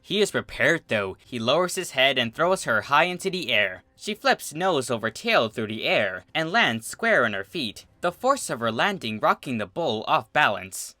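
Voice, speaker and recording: A man's voice: medium pitch, big pitch swings, normal speed, few pauses, sharp tone, dry recording. A man reading a story aloud as a narrator, in a dramatic, scripted storytelling style. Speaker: fan fiction reader, narrator.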